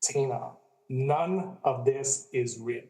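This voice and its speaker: A man's voice: medium pitch, slow pace, dark tone, close to an everyday voice, rough recording. A man playing a laid-back, skeptical friend with a casual way of talking, in an amateur radio play. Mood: incredulous.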